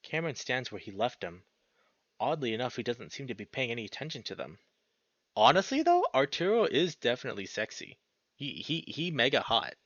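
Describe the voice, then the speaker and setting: Animated man reading a visual novel aloud. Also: mid-range voice, fairly quick, no room sound, dull audio. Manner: animated, sweary, slangy interjections. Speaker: let's player narrating and reacting to a visual novel. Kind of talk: expressive read-aloud narration with casual reactions.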